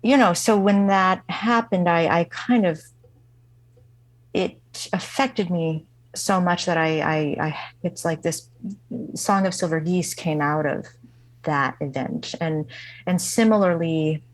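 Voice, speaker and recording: Soft-pitched woman, halting and reflective. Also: dry recording, poor audio. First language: not English. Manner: halting, many fillers and restarts. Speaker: guest musician. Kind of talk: reflective interview storytelling.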